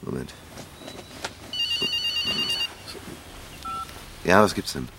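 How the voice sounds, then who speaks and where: An actor playing a weary, probing police inspector in a German radio crime drama, speaking in terse questions. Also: male voice, low-pitched, lively intonation, quick pace. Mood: brisk. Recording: clear background noise.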